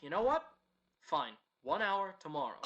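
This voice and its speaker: A kindly radio producer in an audio drama. The voice is bright and a little high for a man, and the manner is patient, supportive and gently scolding. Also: big pitch swings, moderate pace, dull audio. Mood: chiding, relenting.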